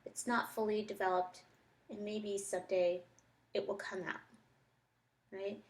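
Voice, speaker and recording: Woman teacher doing a read-aloud lesson, medium pitch, thinking out loud as she goes, kind of reflective. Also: level tone, a little slow. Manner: reflective, talks thoughts through step by step while annotating.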